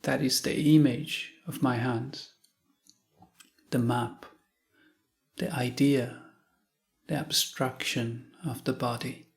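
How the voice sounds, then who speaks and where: A man leading a guided meditation in short, calm phrases with long pauses. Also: mid-range voice, creaky. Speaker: meditation teacher.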